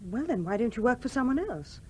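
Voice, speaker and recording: An actress playing a gentle, youngish English widow in a 1970s radio play, polite and reserved but primly spirited. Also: female voice, a little high, very animated, fast talker, a little hiss or hum. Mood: earnest, prim.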